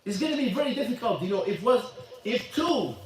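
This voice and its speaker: A high-pitched man teaches at a mosque. He talks fast, repeats himself for emphasis and fires questions at his students. His voice is caught from far off in a very echoey hall. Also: very animated, a little hiss or hum. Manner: emphatic, repeats phrases, quizzes the audience. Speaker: imam teaching a class. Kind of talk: religious lesson, switching between Arabic and English. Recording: echoey hall, mic at a distance.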